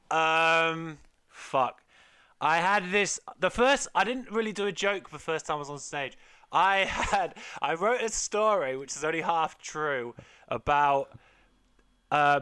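A young man, a stand-up comedian and podcast guest, telling loud, self-mocking stories full of swearing in a casual chat. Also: fairly high pitch, clean tone.

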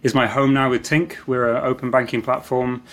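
A man's voice: mid-range voice, level tone, fairly quick, fairly bright tone. A man speaking as a podcast guest, answering in a reflective, thinking-aloud way. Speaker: guest, marketing executive. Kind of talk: podcast interview.

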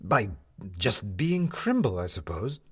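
A man's voice: lowish voice, very animated, slow, husky, heavily acted. A man voicing an indignant male schemer on the run in a storybook reading, with a blustering, theatrical, emphatic delivery. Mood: baffled indignation.

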